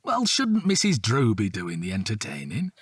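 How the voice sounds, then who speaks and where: A man playing a grumpy, dry-witted middle-aged British police detective in an audio drama, deadpan and sardonic. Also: fairly low pitch, normal speed, husky, no room sound, exaggerated voice. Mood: reluctant, dry.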